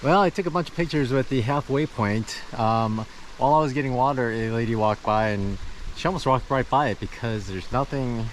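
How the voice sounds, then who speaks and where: A man's voice: mid-range voice, a little fast, a little hiss or hum. A man of fifty, a tired long-distance hiker, chatting casually on a forest trail into his camera and laughing often. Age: 50s. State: tired from hiking. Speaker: thru-hiker vlogger. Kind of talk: casual trail vlog narration.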